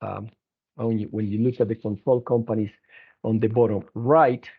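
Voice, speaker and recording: A man presenting company financial results as an executive on an earnings conference call, speaking haltingly with frequent restarts. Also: lowish voice, fairly even intonation, breathy edge. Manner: halting, hesitant delivery with repeated restarts. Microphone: telephone conference line. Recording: narrow, tinny phone-line conference call.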